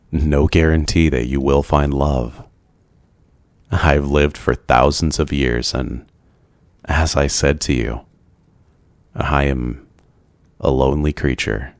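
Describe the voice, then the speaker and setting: Very deep, slow-talking brooding male vampire. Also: a little mellow, no echo. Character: brooding, lonely, ancient male vampire, gentle and courteous. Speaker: roleplay voice performer. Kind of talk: ASMR boyfriend roleplay, scripted.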